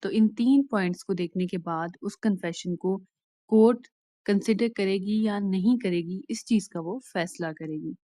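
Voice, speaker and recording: A woman with a Pakistani accent giving an explanatory law lecture in a deliberate, instructional way, restating each point plainly. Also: medium pitch, mellow, dry recording. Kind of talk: explanatory lecture mixing Urdu and English.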